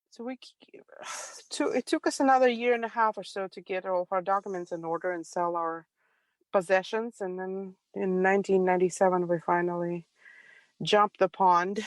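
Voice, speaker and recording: Calm low-voiced woman with a Russian accent. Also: moderate pace, no echo, low-quality recording. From Ukraine. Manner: calm, reflective, soft laughs. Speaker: guest. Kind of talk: interview storytelling.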